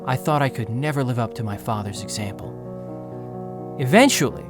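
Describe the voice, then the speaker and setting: A man's voice: medium pitch, normal speed, close to an everyday voice. A man playing a reflective young inmate. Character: young man in wheelchair, narrating his past. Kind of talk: audio drama narration. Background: music.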